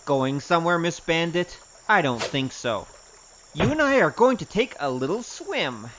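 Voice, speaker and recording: A man's voice: on the high side, very animated, moderate pace. A man playing a wry, cocky wandering gambler in an audio drama, sardonic and teasing. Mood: taunting. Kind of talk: audio drama acting with spoken self-narration. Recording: dull, slightly noisy audio.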